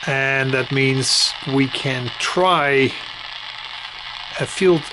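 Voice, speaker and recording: Man explaining casually over clear background noise. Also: medium pitch. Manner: hesitant, conversational, pausing mid-sentence. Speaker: hobbyist demonstrating a build. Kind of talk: casual unscripted tutorial talk. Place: indoors at home.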